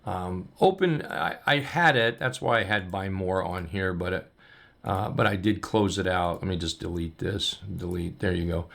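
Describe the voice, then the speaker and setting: A man coaching on trading, talking loosely and upbeat with many ums and restarts as he walks through charts, his voice going hoarse. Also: deep voice, normal speed, a bit bright. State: losing his voice. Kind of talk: casual chart walkthrough.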